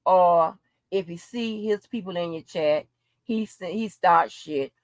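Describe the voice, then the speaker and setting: A woman's voice: on the low side, unhurried, dry recording. A woman in an unscripted livestream rant, blunt, profane and confrontational, repeating phrases for emphasis. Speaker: livestream host.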